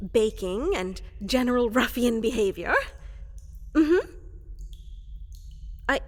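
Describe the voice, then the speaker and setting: A woman's voice: on the high side, a bit bright. A woman playing a fluffy, woolly llama-like creature in a fantasy roleplay, eager to serve as an evil advisor, speaking with flustered, hesitant politeness. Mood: eager, polite. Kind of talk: roleplay ASMR character acting. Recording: long reverberant hall echo.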